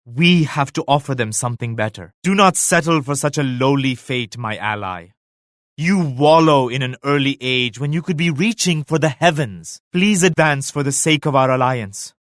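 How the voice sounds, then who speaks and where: An actor voicing a devout, dignified Mughal emperor in a video game, speaking in a solemn, formal, measured manner. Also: male voice, mid-range voice, fairly bright tone, dry recording, clean studio sound, close to an everyday voice. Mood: solemn counsel. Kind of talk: scripted video game character lines.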